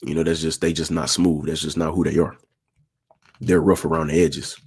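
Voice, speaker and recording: A young man with a raspy voice, talking in a laid-back, smooth way and repeating himself as he hosts a casual livestream. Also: very deep voice, flat delivery, moderate pace, darkish tone. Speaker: livestream host.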